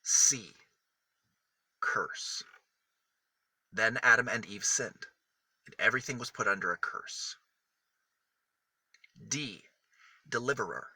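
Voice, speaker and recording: A man reading a picture book aloud for small children, with deliberate, emphatic phrasing that sets single words apart. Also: on the low side, expressive, sharp tone, breathy edge, no room sound, poor audio. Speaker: children's storybook reader.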